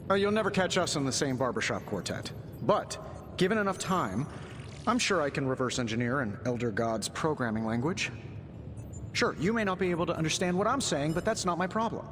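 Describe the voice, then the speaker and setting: A cocky genius inventor from a superhero video game. His voice is fairly high and very animated, full of glib, teasing sarcasm. It rings in a long reverb. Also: brisk, slight background noise. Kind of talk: video game cutscene acting.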